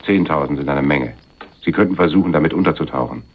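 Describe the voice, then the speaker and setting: A shrewd, moneyed man of power with an extremely low voice. He speaks with suave, patronizing calm in a crime radio play, and the recording is slightly muffled. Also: fast talker. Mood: hard bargaining. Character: shrewd, wealthy, condescending male power broker in a crime play.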